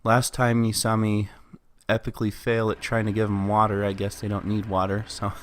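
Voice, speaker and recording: Gaming host, male, low voice, head cold, easygoing play-by-play over steady noise. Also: normal speed. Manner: easygoing, unsure, thinking aloud. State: has a cold, sniffly and coughing. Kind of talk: casual gameplay commentary.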